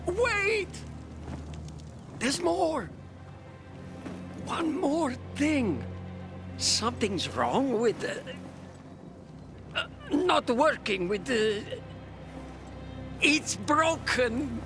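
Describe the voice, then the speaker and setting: A man's voice: very high-pitched, big pitch swings, very slow, pauses often, music in the background, full character voice. An actor playing an anxious, muddled father in a video game, stammering and flustered, unable to finish his sentences. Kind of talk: video game character acting.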